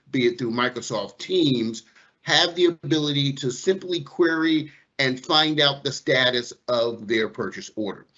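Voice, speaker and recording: A man's voice: mid-range voice, sharp tone. A man giving an explanatory, conversational business presentation as a technology specialist over an online meeting microphone. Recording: dull compressed online-call audio.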